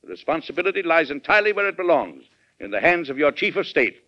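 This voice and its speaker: An actor playing a firm, shrewd American president in an old radio drama, talking bluntly and assertively with an American accent. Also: male voice, a little high, sing-song, quick pace. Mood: firm, commanding. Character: firm, plain-spoken American male president, shrewd and commanding. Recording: dull, band-limited vintage radio recording.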